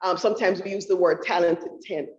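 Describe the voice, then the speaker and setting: A woman giving an academic talk about her research at a book event, speaking in a thoughtful, halting way with frequent restarts. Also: fairly low pitch, steady tone, a little mellow. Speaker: featured author at a book talk. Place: university lecture room. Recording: roomy, dull room audio.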